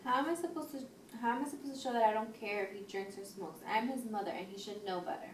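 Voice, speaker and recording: A woman's voice: medium pitch, fast, natural voice. A woman playing a worried, strict single mother of a teenage son in an amateur role-play. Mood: defensive, insistent. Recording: echoey room, distant mic, faint noise.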